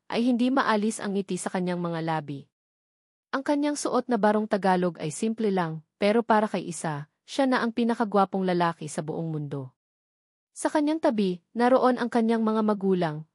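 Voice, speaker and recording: A woman's voice: medium pitch, darkish tone, dry recording, studio quality. A woman narrator reading a scripted romantic drama story in a dramatic storytelling style.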